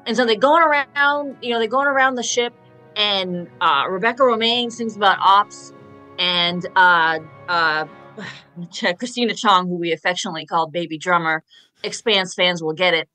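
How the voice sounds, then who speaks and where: A woman chats on a radio roundtable with a bright, sharp voice. She gives her opinions as little stories, mimicking lines as she goes. Faint music sits behind her. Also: medium pitch, moderate pace, low-quality recording. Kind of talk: casual opinionated discussion.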